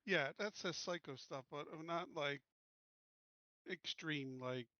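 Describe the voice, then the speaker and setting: A man's voice: fairly high pitch, takes it slowly, pushed and bright, dry recording, highs cut off. A man chatting casually as a player in a game group, blunt, informal and jokey. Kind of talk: casual chat.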